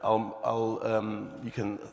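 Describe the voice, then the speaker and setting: A man's voice: on the low side, monotone, slow, creaky, darkish tone. Male chair in an echoing church hall. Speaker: hustings chair. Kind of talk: event moderation. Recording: long church hall reverb.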